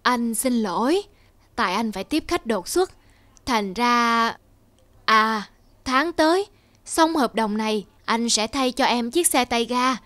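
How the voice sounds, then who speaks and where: A man playing a devoted, hard-working husband in a cartoon drama, earnest and expressive. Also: very high-pitched, takes it slowly, dry recording, heavily acted. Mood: tipsy, apologetic.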